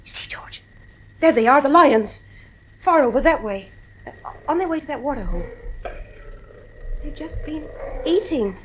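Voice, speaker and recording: A woman's voice: high-pitched, lively intonation, moderate pace, long pauses, slight background noise, low-quality recording. An actress playing an anxious, nervy mother in a radio drama, earnest and insistent with her husband. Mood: frightened.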